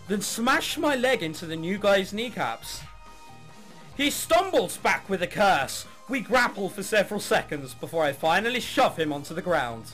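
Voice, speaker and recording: A man with a fairly high, lively voice plays through a game on mic. He reads the on-screen text and breaks off for sarcastic, sweary asides. Faint music runs behind him. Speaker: let's play host. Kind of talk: let's play commentary, reading game text aloud.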